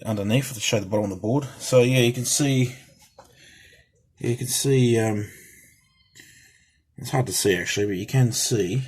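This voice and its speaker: A man giving a casual, rambling, unscripted show-and-tell as a hobbyist, halting as he goes, with a slightly husky voice. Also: medium pitch, darkish tone. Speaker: hobbyist presenter showing a restored CB radio.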